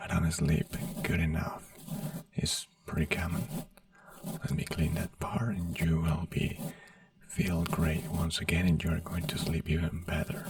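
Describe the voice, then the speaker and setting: Soft slow asmr doctor whisper, dry sound, kinda gravelly. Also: sits low, no echo, distant mic. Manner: soft whisper, slow and soothing, simple reassuring phrases. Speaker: ASMR creator in a doctor roleplay.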